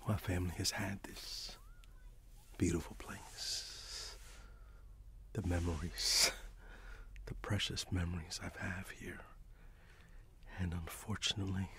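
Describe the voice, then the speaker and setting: A middle-aged man playing a friendly, wistful candy shop owner in a gentle, hesitant ASMR roleplay whisper. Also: low voice, creaky, full character voice.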